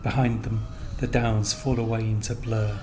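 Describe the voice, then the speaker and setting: A man reading scripted literary narration in a measured, sombre manner. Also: lowish voice, little pitch movement, background noise.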